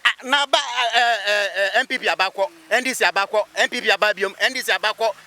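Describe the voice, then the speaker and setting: A man's voice: high-pitched, fast talker, bright, forceful tone. A man with a Ghanaian accent answering a street interview emphatically, repeating his phrases. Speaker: interviewed local motorist or resident. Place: outdoors by a busy road.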